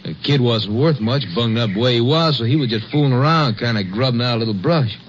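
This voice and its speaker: A dull old radio recording with faint noise carries a male actor as a rustic lumberjack. He is plain-spoken and grumbling, with a folksy drawl at a middling pitch, in 1950s western drama style. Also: fast, natural voice. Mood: matter-of-fact.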